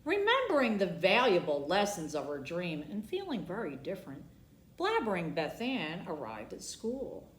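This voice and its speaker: A woman's voice: medium pitch, fairly bright tone. A woman reading a picture book aloud for children at storytime, theatrical and leaning on the colourful words. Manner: theatrical, emphasizing alliterative words. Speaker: library storytime reader. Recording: roomy, echoing sound.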